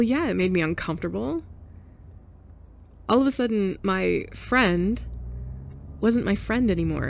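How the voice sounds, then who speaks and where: A woman's voice: lowish voice, creaky, slight background noise. A young woman playing a blunt, sarcastic former bully in an audio roleplay, sighing and scoffing as she talks. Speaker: ASMR roleplay performer. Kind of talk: F4M ASMR roleplay.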